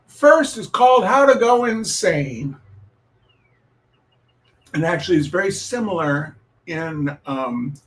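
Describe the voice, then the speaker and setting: A man hosting a reading night, telling personal stories informally in a wry, deliberate way with pauses and repeated phrases. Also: on the high side, expressive, fairly bright tone, rough recording. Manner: deliberate, halting, with dramatic pauses and repetition. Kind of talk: informal spoken introduction with personal storytelling.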